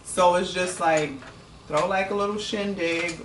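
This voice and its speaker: Young guy hosting a podcast, talks a lot, clear delivery, roomy sound with hiss. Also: high voice, fairly even intonation, a little slow, fairly bright tone. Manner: clear-spoken, talkative interviewer. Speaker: podcast host. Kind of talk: casual chat. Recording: roomy, distant mic, audible hiss.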